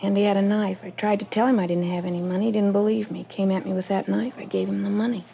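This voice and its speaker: A woman acts out a defensive young American woman under questioning in a vintage radio play. She talks fast and insistently, with a noticeable creak in her voice. The old recording is dull and noisy. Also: medium pitch, level tone, lightly acted. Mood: resigned, shaken. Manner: guarded, insistent. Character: defensive, evasive young woman, convict's former fiancée. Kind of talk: 1940s-50s radio drama acting.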